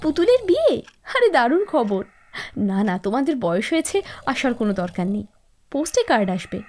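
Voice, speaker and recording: A woman playing a spiteful, scheming young village wife in a cartoon, shrill and dramatic as she nags and complains. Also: very animated, moderate pace, a little mellow. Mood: excited, chatty. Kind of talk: animated cartoon moral-story acting.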